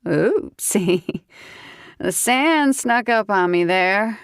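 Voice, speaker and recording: A woman's voice: mid-range voice, expressive, drawn-out words, clean tone, no echo, clean studio sound, pitched above the performer's own voice. A woman voicing a tipsy, cheeky young woman with a Southern accent, sassy and giggly in an audiobook. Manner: giggly, sassy, folksy exclamations.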